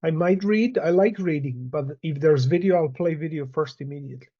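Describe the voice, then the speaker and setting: A man joining a casual video call, chatting in a relaxed, agreeable way. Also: on the high side, brisk, no echo, muffled recording. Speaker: call participant. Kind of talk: casual chat.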